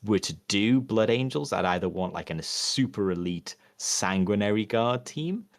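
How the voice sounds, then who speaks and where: A man co-hosting a podcast, chatting casually in a halting, rambling way with many fillers and restarts. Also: fairly low pitch, expressive, dry recording. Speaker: podcast co-host. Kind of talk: casual chat.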